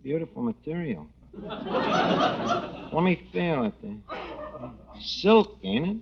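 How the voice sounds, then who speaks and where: Noisy old radio recording, a slangy new york wiseguy bar manager, swoopy delivery, mangles fancy words. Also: male voice, a little high, very animated, takes it slowly, creaky, muffled recording, full character voice. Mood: smug, flirtatious. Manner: slangy malapropisms, faux-genteel, hesitant fumbling delivery. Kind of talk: 1940s radio comedy acting.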